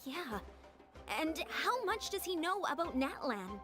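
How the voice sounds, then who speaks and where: A woman's voice: extremely high pitch, big pitch swings, unhurried, full character voice. An actress voicing a caring, anxious young girl companion in a video game, sounding earnest and concerned. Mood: uneasy. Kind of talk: scripted video game cutscene acting. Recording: prominent music under dialogue.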